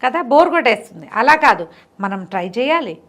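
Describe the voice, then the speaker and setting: A woman's voice: a little high, big pitch swings, fairly quick, fairly bright tone. A middle-aged woman tells a moral story conversationally, repeating phrases for emphasis and explaining the lesson simply.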